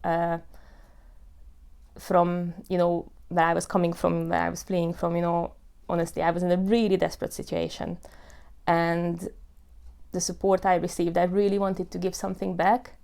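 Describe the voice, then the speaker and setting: Smooth-voiced woman in her thirties. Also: on the low side, monotone, normal speed, darkish tone. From Hungary. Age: 30s. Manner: earnest, with many fillers like "you know" and "uh". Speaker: tenant telling her story. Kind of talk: personal testimony.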